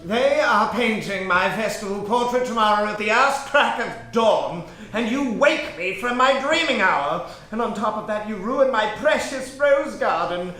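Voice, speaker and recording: A man's voice: high voice, animated, a little slow, hardly any pauses, pushed and bright, full character voice. A man playing a vain, imperious, pampered lord in a comedy script reading, with a pompous, theatrical drawl. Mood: haughty, aggrieved. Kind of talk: comedy script table read. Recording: very echoey room.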